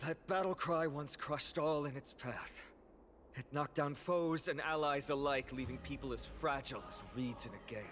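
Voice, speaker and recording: Man playing a higher-voiced young noble warrior. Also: fairly bright tone, slight background noise, close to an everyday voice. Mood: grave. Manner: formal, measured, earnest. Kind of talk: dramatic video game acting. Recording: game music behind voice.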